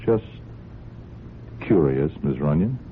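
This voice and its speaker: A man's voice: a bass voice, expressive, takes it slowly, full character voice. An actor playing a folksy police sergeant in a radio drama, hesitant and apologetic as he politely probes with mild questions. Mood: mild, probing. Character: folksy, polite but quietly probing male police sergeant. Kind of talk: old-time radio drama acting. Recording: muffled, hissy old radio drama recording.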